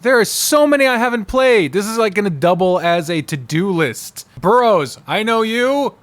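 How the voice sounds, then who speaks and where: A man's voice: high-pitched, expressive, moderate pace, a bit bright. A man giving casual, rambling ranking commentary, thinking aloud and swearing freely in an informal way. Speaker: host.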